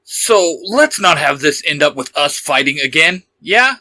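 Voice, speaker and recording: Combative, taunting superhero rival, acted by a man, sharp highish voice, for fan fiction audio. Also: sing-song, unhurried, full character voice.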